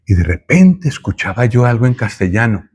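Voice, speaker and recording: A man from Colombia, giving a thoughtful Bible teaching with pauses and self-corrections. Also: mid-range voice, very animated, darkish tone, breathy edge.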